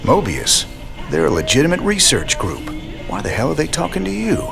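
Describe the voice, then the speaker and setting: An actor playing a weary, determined rebel leader and rugged frontier gunslinger in a video game, with a gravelly Southern American voice. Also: male voice, fairly high pitch, wildly swooping pitch, normal speed, over music, exaggerated voice. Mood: gruff, businesslike. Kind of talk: video game cutscene acting.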